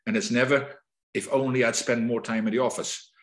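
Man, middle-aged, Dutch accent, mid pitch, reflective, restarts often, remote interview guest. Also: fairly even intonation, brisk. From Netherlands. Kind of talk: conversational interview.

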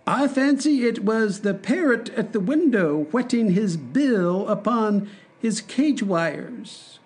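A man voicing a royal courtier who speaks slowly, offhandedly, and higher than his own reading voice. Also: lively intonation. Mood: offhand. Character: smooth-spoken male royal courtier.

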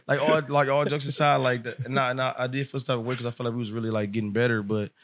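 A man in his twenties, a rapper telling stories on a podcast in a loose, profane, animated way, laughing often. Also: mid-range voice, fast talker, no room sound. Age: 20s. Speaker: rapper guest. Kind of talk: casual podcast storytelling. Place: podcast studio.

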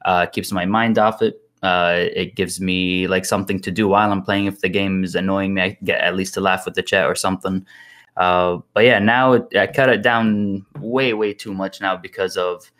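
A young man with a low, easygoing voice, a guest on a gaming podcast. He rambles loosely through his answers and laughs easily. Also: little pitch movement, normal speed, a bit bright. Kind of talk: casual podcast chat.

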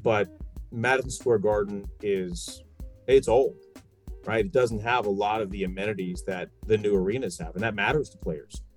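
A man's voice: fairly low pitch, fairly even intonation, normal speed, soft background music. A man from New York in a casual, opinionated sports conversation, talking emphatically and posing rhetorical questions. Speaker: sports podcast guest and radio play-by-play broadcaster.

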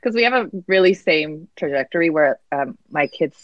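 A woman's voice: deep voice, lively intonation, moderate pace, no echo. A woman hosting a casual interview and asking questions about family and language, with an easy laugh. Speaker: interviewer, host. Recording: slightly dull lo-fi sound.

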